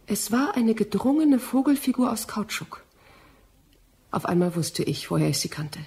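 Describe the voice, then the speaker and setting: Husky, earnest woman scientist. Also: medium pitch, warm tone, full character voice. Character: determined, accusing young female scientist of the 19th century. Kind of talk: German radio drama acting.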